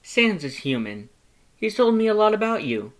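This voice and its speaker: A high, projected voice for a giant, gentlemanly skeleton. He is cheerful and polite, declaiming each phrase with formal exuberance, like a grand character in an audio storybook. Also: sing-song, normal speed. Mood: proud, chatty. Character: very tall, cheerful, polite, theatrical male skeleton. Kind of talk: storybook fan fiction character voice.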